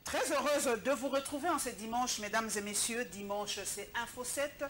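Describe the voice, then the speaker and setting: A woman's voice: a little high, normal speed, a bit bright. Lady hosting tv news, grills her guest, bit of hiss behind. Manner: pointed, confrontational questioning. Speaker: TV news show host. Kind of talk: TV studio interview and news presentation.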